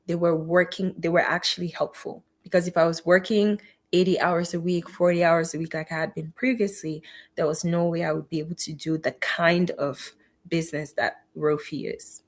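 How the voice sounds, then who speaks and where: Middle-aged woman, physician guest, earnest and faith-filled storytelling, low-pitched. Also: fairly even intonation, normal speed. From Cameroon. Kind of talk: personal story in an interview.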